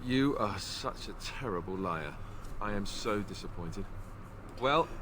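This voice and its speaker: A man's voice: fairly low pitch, lively intonation, a little slow. A man playing a sarcastic, dryly needling adventuring companion in a video game. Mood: mock disappointed. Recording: game audio with clear background noise.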